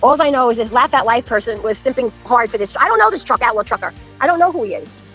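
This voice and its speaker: A woman's voice: fairly high pitch, big pitch swings, fast, a bit bright, faint music behind. A woman in an informal argument, sounding defensive and combative and cursing freely.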